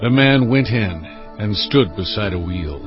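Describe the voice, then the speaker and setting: Man narrating scripture over music. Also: fairly low pitch. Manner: measured, steady storytelling delivery. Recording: dull lo-fi audio with music bed.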